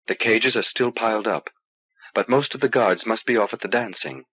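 A man's voice: normal speed, a bit bright, husky, no room sound, highs cut off. A man voicing a wary, earnest juggler pledged to his lord in an audiobook, speaking tensely, on an old cassette transfer. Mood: urgent. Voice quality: low voice.

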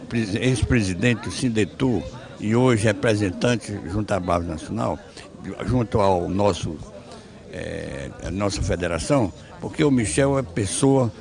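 Creaky, halting man in his seventies. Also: mid-range voice. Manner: halting, searching for words. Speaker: former trade union president, interviewee. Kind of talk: spoken tribute in a TV interview. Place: city square outdoors at night. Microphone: handheld reporter microphone.

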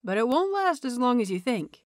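A woman voicing a cheerful young man who teaches small children, praising and instructing them brightly in an audio story. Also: fairly high pitch, big pitch swings, normal speed, no room sound, clean studio sound. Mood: instructive.

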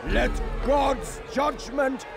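A man's voice: extremely high pitch, extremely slow, creaky, over a noisy crowd, very noisy, heavily acted. A man playing a power-mad, cruel tyrant preacher in a crusader-era video game, declaiming grandly and relishing his cruelty. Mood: fiery preaching. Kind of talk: theatrical video game cutscene acting. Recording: loud music underneath.

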